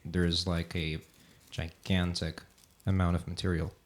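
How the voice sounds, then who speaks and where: A man hosting a casual podcast chat, asking curious questions in a relaxed way. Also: low-pitched, a little slow. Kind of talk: casual conversation.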